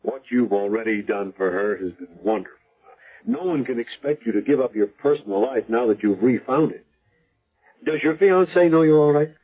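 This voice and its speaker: An actor playing a kindly, reassuring hospital chaplain in a 1970s radio drama, with a slightly husky voice. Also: male voice, medium pitch, animated, moderate pace, mellow. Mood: warm, reassuring. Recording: muffled 1970s radio broadcast.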